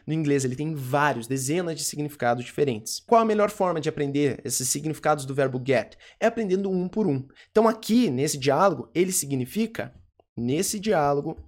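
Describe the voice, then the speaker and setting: An English teacher gives a casual online lesson. They often slow down to repeat English words and keep checking in with short "tá?" and "ok?" questions. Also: medium pitch. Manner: informal, checks in with "tá?" and "ok?".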